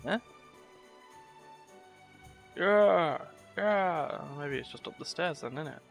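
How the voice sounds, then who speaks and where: Bright-voiced man streaming a video game. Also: fairly high pitch, quick pace, creaky, soft background music. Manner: self-deprecating, wry, chatty. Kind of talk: casual live game-stream commentary. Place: indoors at home, live stream, game sound behind.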